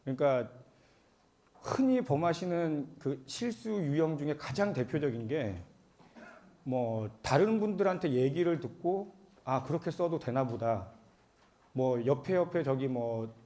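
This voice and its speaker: A man with a cold giving a formal explanatory seminar presentation as an accountant, speaking politely and a bit haltingly. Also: a little high, lively intonation, warm tone. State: has a cold.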